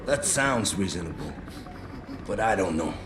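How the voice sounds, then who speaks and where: Volatile cornered hostage-taker, swinging pitch. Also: male voice, animated, unhurried. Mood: desperate, wary. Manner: agitated, swaggering street talk. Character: cornered, volatile young male hostage-taker, vengeful and emotional. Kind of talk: dramatic video game acting. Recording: echoey room.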